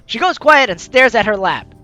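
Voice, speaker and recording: A bright, forceful voice hosting a game playthrough, reading story text aloud with theatrical flair. The pitch swings around in a lively way and the commentary is playful. Soft game music plays behind. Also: normal speed. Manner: animated, theatrical, playfully exclaiming. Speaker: gaming video host reading a visual novel aloud.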